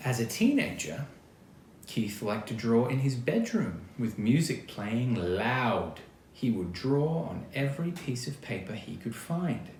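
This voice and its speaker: An Australian-accented man reading a picture book to children as an actor, expressive and chatty, speaking straight to his young listeners. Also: mid-range voice, measured pace. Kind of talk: children's storytime read-aloud with casual asides. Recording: roomy echo, clear background noise.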